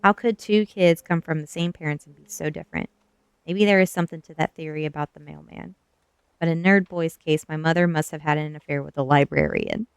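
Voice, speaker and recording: A woman streamer with a soft, gentle delivery, reading a book aloud in an ASMR style and chatting casually to viewers. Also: deep voice, fairly quick, vocal fry, clean tone, no room sound. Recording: quiet music behind the voice. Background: faint background music.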